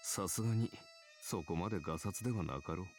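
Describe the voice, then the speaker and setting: A man's voice: sits low, rapid-fire, faint music behind. An actor playing a dry, sardonic archer hero in a visual novel, composed and wry as he lectures. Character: dry, sardonic adult male heroic archer spirit. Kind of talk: Japanese visual novel voice acting.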